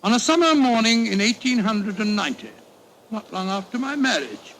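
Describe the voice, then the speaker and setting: An actor playing a genial, fussy middle-aged English doctor with an avuncular, blustering British manner in 1940s radio style. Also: male voice, sits high, very animated, normal speed, fairly bright tone. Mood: genial, storytelling. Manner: avuncular, blustering, old-fashioned turns of phrase. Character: Doctor Watson, genial fussy English doctor telling his old tales. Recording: noisy vintage 1940s radio recording.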